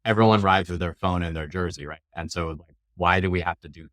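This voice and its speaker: A man's voice: low-pitched, a little fast, a bit bright, no echo, clean studio sound. A man being interviewed as a company representative, explaining products in an informal, casual way.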